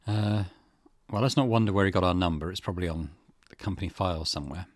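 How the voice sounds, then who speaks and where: A man's voice: sits low, fast, no echo, studio quality. A middle-aged man hosting a gaming channel, reading game text aloud and commenting as he plays.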